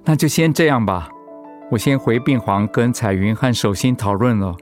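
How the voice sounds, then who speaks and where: A man playing a steady, devoted middle-aged husband and father in a radio drama, speaking in an earnest, composed way. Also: medium pitch, moderate pace, faint music behind, close to an everyday voice. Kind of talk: Mandarin radio drama acting.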